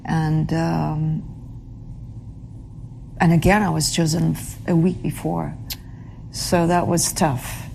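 A woman with a notably low voice answers interview questions in a reflective, halting way. She pauses, reaches for words and fills the gaps with small hesitations. A faint hiss sits under her voice. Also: little pitch movement, creaky, a little mellow, poor audio. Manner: halting, searches for English words, many fillers. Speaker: actress being interviewed.

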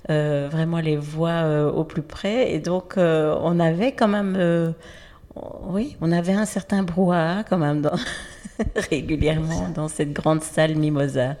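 A woman's voice: low voice, fairly even intonation, measured pace, dark tone, in a large hall. A woman thanking partners and introducing a podcast, hesitating with many fillers. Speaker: project leader. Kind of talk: thank-you speech and introduction.

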